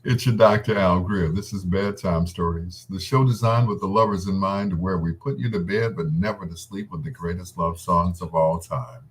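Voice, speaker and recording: A man's voice: sits low, fairly even intonation, normal speed, few pauses, low-quality recording. A man hosting a radio show, telling personal stories in a casual, rambling, reminiscing way. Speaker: radio host. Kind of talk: casual storytelling between love songs.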